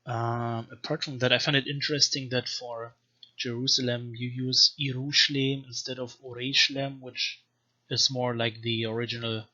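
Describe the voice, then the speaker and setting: Sharp, bright-voiced man lecturing in monotone. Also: medium pitch, moderate pace. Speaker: language teacher presenting a lecture. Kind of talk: informal explanatory lecture with slow read-aloud text samples. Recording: dull, lo-fi microphone sound.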